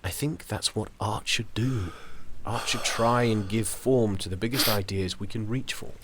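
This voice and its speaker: An actor playing a genial, philosophical middle-aged gentleman in an audio drama, speaking with measured, musing pauses. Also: on the low side, background noise, natural voice. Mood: earnest, encouraging. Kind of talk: theatrical audio drama acting.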